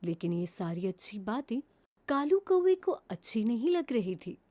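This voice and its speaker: A woman narrating a children's cartoon moral story in a lively storytelling way. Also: on the high side, a little slow.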